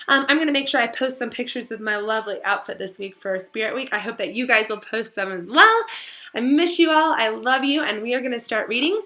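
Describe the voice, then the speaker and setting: A woman teacher speaking to children in an encouraging, affectionate way, in the expressive style of a storybook read-aloud. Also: on the high side. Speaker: teacher reading aloud to pupils.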